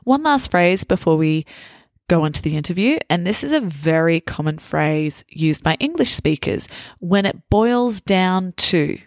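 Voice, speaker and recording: A woman hosting a podcast, slowly and clearly explaining English phrases to learners. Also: fairly low pitch, animated, dry recording. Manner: slow, clear, teacherly explanations. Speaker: podcast host.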